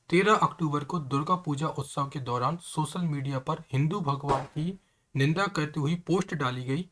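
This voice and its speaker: Earnest higher-voiced man explaining news. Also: fairly bright tone. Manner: earnest, repeatedly addresses viewers as friends. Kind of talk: informal news explainer addressing viewers.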